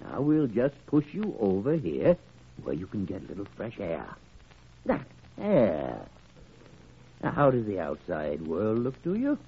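Blustery old doctor, wildly swooping voice. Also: male voice, on the low side, huge pitch swings, measured pace, long pauses, husky, exaggerated voice. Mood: coaxing. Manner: blustery, commanding, theatrical. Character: gruff, blustery older male senior doctor, fatherly to patients. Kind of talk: old-time radio drama acting. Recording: tinny, band-limited old radio recording.

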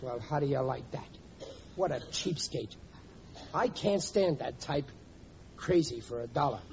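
An actor playing a grumbling, stingy working-class husband of about forty, with blustery slangy talk, in old-time radio comedy. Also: male voice, mid-range voice, animated, measured pace, vocal fry. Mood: indignant. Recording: dull, noisy vintage radio recording.

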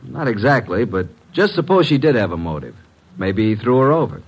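An actor playing a wry, wisecracking detective's assistant with an informal manner, in classic radio drama style. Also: male voice, medium pitch, very animated, a little fast, slightly rough, low-quality recording. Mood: speculating. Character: breezy, wisecracking male private detective's assistant.

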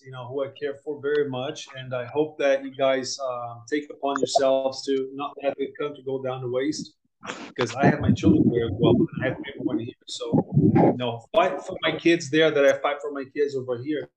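A man from Brazil, a podcast guest, talking in a halting, filler-heavy way with many restarts. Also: mid-range voice, fairly even intonation, heavy background noise. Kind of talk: casual podcast conversation.

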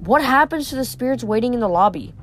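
A woman's voice: on the high side, expressive, quick pace, soft background music, lightly acted. A woman reading aloud voices an earnest, direct young girl adventurer.

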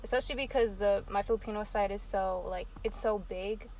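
Young woman, hesitant, casual group chat, average pitch, faint noise. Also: steady tone, brisk, darkish tone, rough recording. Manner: hesitant, "I feel like" and "I don't know" hedging. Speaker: panelist.

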